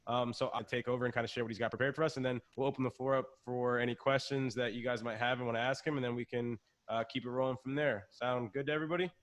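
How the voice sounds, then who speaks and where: A man's voice: mid-range voice, steady tone, fast talker. A man hosting a video call who introduces the guest in a hesitant way, with many "um"s. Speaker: host.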